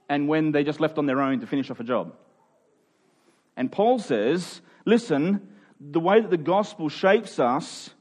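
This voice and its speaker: A man's voice: a little high, darkish tone. A man preaching in a conversational, expository way, informal and emphatic, often checking in with his listeners.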